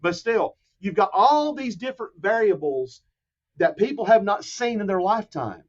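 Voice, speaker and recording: A man in his fifties talks things through in a measured, explanatory way as a podcast guest. His voice sits a little high for a man, and the recording is slightly muffled. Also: expressive. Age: 50s. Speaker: guest expert. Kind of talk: podcast discussion.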